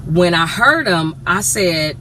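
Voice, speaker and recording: A woman from with a flat voice, a radio DJ telling her story bluntly, cursing freely in a casual interview. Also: lowish voice, slow pace. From Chicago. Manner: blunt, profane, animated storytelling. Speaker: radio DJ guest. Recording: noisy background.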